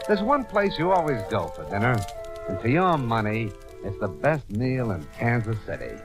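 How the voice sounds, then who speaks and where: A man's voice: medium pitch, big pitch swings, moderate pace, close to an everyday voice. A man acting as a hard-boiled storyteller, narrating a vintage radio drama in the second person. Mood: measured, suspenseful. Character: hard-boiled storyteller addressing a police reporter. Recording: old radio recording with background music. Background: dramatic background music.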